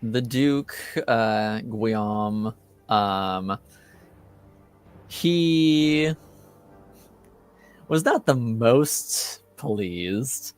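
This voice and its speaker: A man with a fairly low voice runs a tabletop roleplaying game as game master. He improvises his narration slowly, with faint music underneath. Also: clear, resonant tone.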